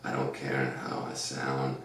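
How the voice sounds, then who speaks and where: A man hosting a casual podcast, with a deep, gravelly voice, rambling through an earnest pep talk full of fillers. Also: completely flat delivery, a little slow, fairly bright tone, recorded from a distance. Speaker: podcast host. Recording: echoey room, off-mic, faint noise.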